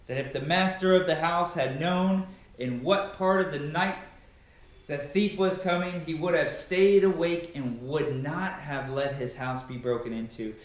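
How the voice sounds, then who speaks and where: A man's voice: fairly high pitch, unhurried. A young man giving a dramatic, emphatic reading of scripture, declaiming with stressed phrases. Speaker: Bible reader. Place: bare carpeted living room indoors. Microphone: far camera. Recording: very echoey empty room, distant microphone.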